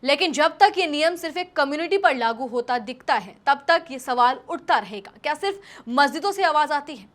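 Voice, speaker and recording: A woman's voice: high voice, a bit bright. A woman news presenter delivering scripted commentary in an emphatic, rhetorical style.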